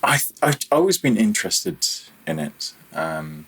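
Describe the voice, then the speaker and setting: Male interview guest, very low husky voice, modest and conversational. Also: big pitch swings, unhurried, a bit bright. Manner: self-deprecating. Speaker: interview guest, audio DSP developer.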